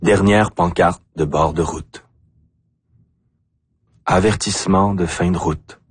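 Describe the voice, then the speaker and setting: A man with an Acadian French accent reciting spoken-word poetry deliberately, in short phrases with pauses. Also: low-pitched, fairly even intonation, breathy edge, dry recording. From Acadia, New Brunswick, Canada. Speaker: poet.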